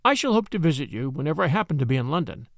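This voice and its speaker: A man voicing a horrified young lady, high and shrill. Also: big pitch swings, rapid-fire, creaky, dry recording, clean studio sound. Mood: cool. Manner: rising into shrill distress. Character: young lady, distressed and pleading.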